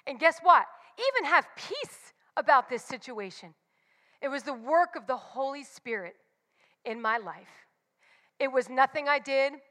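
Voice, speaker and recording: Woman, forties, high and bright, candid church speaker, long reverb. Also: big pitch swings, unhurried. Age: 40s. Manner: candid and confessional, emphatic repetitions. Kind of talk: personal devotional talk.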